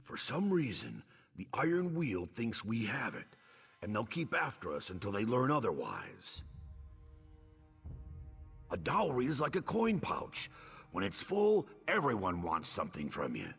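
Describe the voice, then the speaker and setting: Gravelly, animated thieves guild rogue. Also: male voice, medium pitch, a little slow, in a large hall, soft background music, exaggerated voice. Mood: wry, musing. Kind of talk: video game voice acting.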